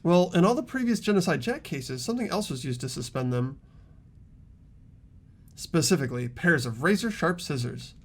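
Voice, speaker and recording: An earnest teenage boy detective in a video game, played by a man. His voice sits fairly high and moves with lively pitch as he politely and deliberately explains his reasoning. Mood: explaining. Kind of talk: amateur game voice acting.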